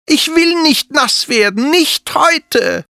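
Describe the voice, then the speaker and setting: Brief: excitable little girl dragon in a storybook, voiced by the narrator, very high and lively. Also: slow, vocal fry, dry recording, clean studio sound, exaggerated voice. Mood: whiny.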